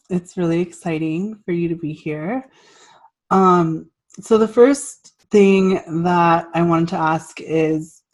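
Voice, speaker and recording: A woman's voice: lowish voice, darkish tone. A woman hosting an interview, upbeat and welcoming, with frequent fillers. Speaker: host.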